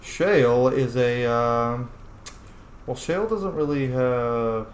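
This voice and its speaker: A man plays through a fantasy game, commenting casually and speaking as his character. His voice sits at a middling pitch, with plenty of room echo and faint noise behind it. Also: slow. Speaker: gamer playing a role-play series.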